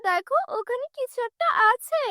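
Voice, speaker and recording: A woman's voice: very high-pitched, expressive, moderate pace, sharp tone, no echo, studio quality, pitched above the performer's own voice, heavily acted. A woman voicing an emotional, stubborn young village girl in a children's cartoon, with a plaintive childlike delivery. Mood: excited. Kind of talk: children's fairy-tale cartoon voice acting.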